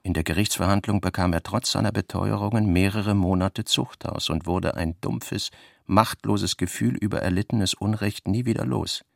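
Brooding male novelist, gravelly and deliberate, close dry sound, literary radio drama. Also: sits low, no room sound. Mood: sober, grave. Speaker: radio play reader. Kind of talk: literary radio reading of narration and working notes.

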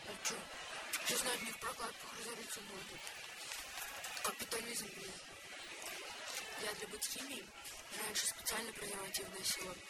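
A woman's voice: medium pitch, steady tone, normal speed, long pauses, over loud music, natural voice. A woman playing a blunt, streetwise shoplifter in a radio drama, talking in casual slang. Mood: blunt, chatty. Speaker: actor in a radio play. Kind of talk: modern Russian radio drama dialogue. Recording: very noisy background ambience.

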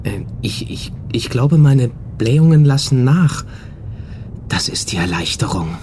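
Nervous young man, swinging pitch. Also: big pitch swings, a little slow, darkish tone, slight background noise. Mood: dejected. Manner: agitated, nervous. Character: nervous, lovelorn young man, a confessing killer. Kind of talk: comic radio crime drama.

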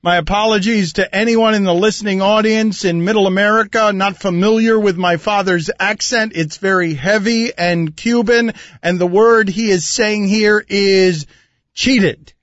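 A man's voice: high-pitched, steady tone, moderate pace, a bit bright, dry recording. A man hosting a sports talk radio show, chatting wryly and reading listener texts from a studio, heard as a dull lo-fi broadcast. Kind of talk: casual studio banter.

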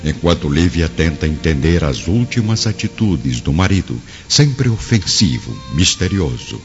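A man's voice: sits low, sing-song, a little slow. A man narrating a radio drama in a dramatic, scripted style. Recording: muffled radio drama under loud music.

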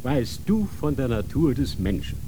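Severe inquisitor, slow and measured, noisy background, radio play. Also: male voice, mid-range voice, very animated. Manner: lecturing, severe, rhetorical questions. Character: stern, cold inquisitor man, worldly. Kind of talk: radio drama acting.

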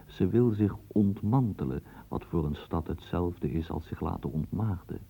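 A man's voice: sits low, moderate pace, vocal fry, warm tone, husky. A man narrating a radio play and guiding the listener through the scene like a storyteller. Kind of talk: scripted radio drama narration. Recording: tinny lo-fi old radio recording.

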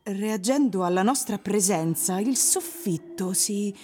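A woman's voice: mid-range voice, animated, measured pace, warm tone, soft background music. A woman performing descriptive, dramatised literary narration for a radio play. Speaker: actress narrator.

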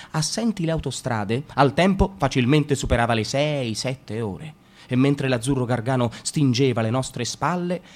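An Italian man tells a literary story for a radio drama. His voice swings from hushed, near-whispered passages to loud bursts of excitement, in a mid range. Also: medium pitch.

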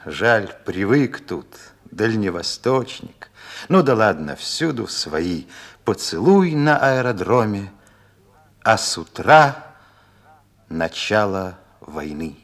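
A man's voice: lowish voice, sing-song, slow, a bit bright, full character voice. A male actor playing a solemn, compassionate narrator who speaks in dramatic verse in a radio play. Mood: grave, tender.

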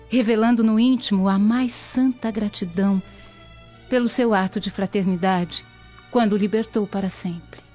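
An actress playing a tender, sorrowful young Roman granddaughter in a radio soap, earnest and formal. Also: female voice, mid-range voice, a little mellow, close to an everyday voice. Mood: admiring. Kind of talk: dramatic radio soap acting. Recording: dull lo-fi, music behind.

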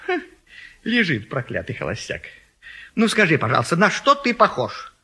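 An actor playing a pushy, excitable friend in a classic Russian stage comedy, insistent and theatrical as he cajoles and scolds. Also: fairly high pitch, moderate pace, slightly rough, heavily acted. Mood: exasperated scolding. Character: pushy, excitable male friend bullying a bachelor into marriage.

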